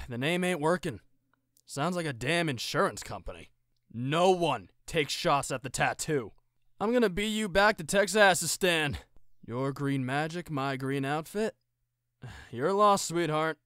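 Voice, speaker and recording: A man's voice: on the high side, a bit bright, smooth, no room sound, studio quality. A man voicing a cocky, wisecracking movie-star fighter from a video game, smug and sarcastic.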